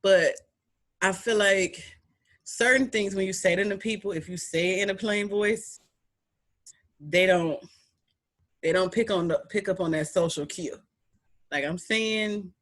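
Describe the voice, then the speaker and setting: Deep-voiced woman talking emphatically. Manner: animated, emphatic, playful repetition. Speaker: podcast host. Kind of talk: casual chat.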